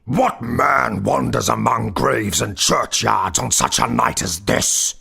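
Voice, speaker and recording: Storybook goblin: stern and malicious, gravelly, husky, drawn-out taunting delivery. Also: male voice, big pitch swings, heavily acted. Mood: accusing. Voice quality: deep, forceful.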